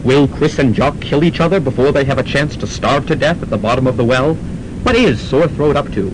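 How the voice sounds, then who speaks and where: A man's voice: expressive, brisk, lightly acted. A man playing the mock-dramatic narrator of a student radio serial. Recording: noisy, rumbling amateur radio recording.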